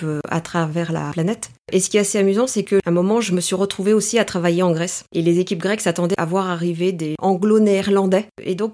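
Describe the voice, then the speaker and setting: A woman's voice: lowish voice, a little mellow. A middle-aged woman from Paris, thoughtful and articulate, answering as a guest in a reflective interview. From Paris, France, in a Greek family. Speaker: interview guest, executive coach.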